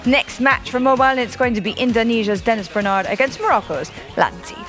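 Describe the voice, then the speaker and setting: A woman announcing the next esports match with energetic, upbeat hype. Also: a little high, fast talker, faint music behind. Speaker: event announcer.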